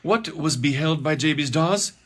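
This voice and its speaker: A man's voice: a little high, normal speed, a bit bright. A man narrating a rhyming storybook poem in a dramatic, theatrical manner. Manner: dramatic, swooping delivery.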